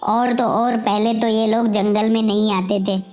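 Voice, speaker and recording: A woman voicing an indignant eagle, bitter about humans, in a deliberate scolding manner for an animated moral story. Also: medium pitch, soft background music, close to an everyday voice.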